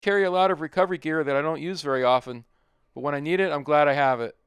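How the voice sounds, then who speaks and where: A man's voice: on the high side, fast talker, smooth, no room sound. A middle-aged man narrating his off-road trip in a plain-spoken, easygoing voice-over. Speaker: overlanding vlogger.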